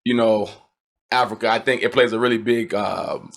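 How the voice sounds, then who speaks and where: Male podcast host, medium pitch, informal and slangy, relaxed conversational delivery. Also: moderate pace. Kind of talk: casual podcast chat.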